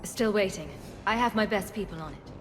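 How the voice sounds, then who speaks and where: An actress playing a principled, weary female scientist in a video game cutscene, speaking in a measured, earnest way. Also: mid-range voice, background noise, close to an everyday voice. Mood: businesslike.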